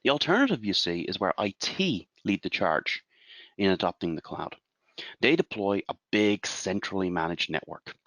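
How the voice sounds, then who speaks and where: An Irish man in his forties presents a webinar as a consultant, explaining in a measured way and asking rhetorical questions. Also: low-pitched, lively intonation, fairly bright tone, dry recording. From Ireland.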